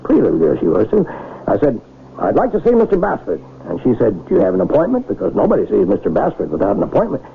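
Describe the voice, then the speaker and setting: An actor playing a modest, plain-spoken small-town mayor and husband in an old-time radio drama, with a wry, folksy, self-deprecating manner. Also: male voice, mid-range voice, huge pitch swings, fast, gravelly, narrow, tinny audio. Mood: modest, matter-of-fact. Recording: band-limited, noisy radio drama recording.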